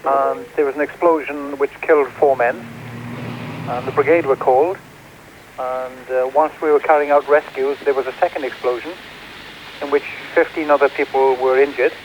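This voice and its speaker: A British man answers interview questions as a firefighter, speaking off the cuff in a mid-pitched voice. The sound is slightly dull, with faint noise from an old television tape. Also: fairly even intonation, moderate pace.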